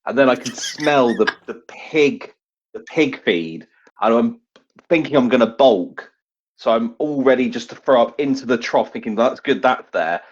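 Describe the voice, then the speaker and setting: A man's voice: mid-range voice, moderate pace. A man playing a tabletop roleplay game, improvising comic narration in a casual, rambling way. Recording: dull, lo-fi game recording.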